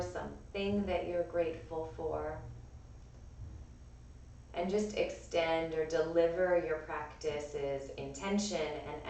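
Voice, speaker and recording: Woman, raspy and strained, calm guided instruction, echoey room, mic at a distance. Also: sits low, slight background noise. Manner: calm, gentle cueing of breath and movement. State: strained voice from overuse. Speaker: yoga and pilates instructor.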